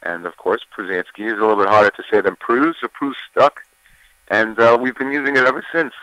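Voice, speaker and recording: Brief: male singer as interview guest, low deep voice, bright tone, relaxed and halting. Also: dry recording, rough recording. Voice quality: deeper voice. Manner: relaxed, many fillers and restarts. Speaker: singer interviewed about his album. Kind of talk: casual interview chat.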